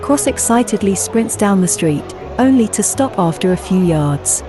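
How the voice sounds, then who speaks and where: A woman's voice: medium pitch, expressive, moderate pace. A woman reading scripted narration for a fantasy audio drama. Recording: music behind the voice.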